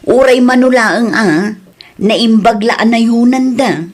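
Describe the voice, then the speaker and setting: A woman's voice: fairly high pitch, slow pace. Older Ilocano wife and aunt , played by a woman, concerned, conversational audio drama delivery.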